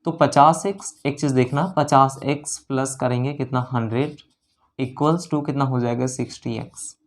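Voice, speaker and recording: A man's voice: mid-range voice, fairly even intonation, normal speed. Indian man teaching maths step by step. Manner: repeats and rephrases key phrases, checks in with learners. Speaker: maths teacher for exam preparation. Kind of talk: lesson explaining worked problems step by step.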